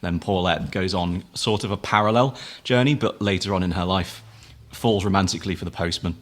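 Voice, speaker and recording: Deep-voiced enthusiastic male guest. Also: fairly quick. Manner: enthusiastic, explains with humor. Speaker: theatre society guest. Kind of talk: casual interview chat. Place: radio studio.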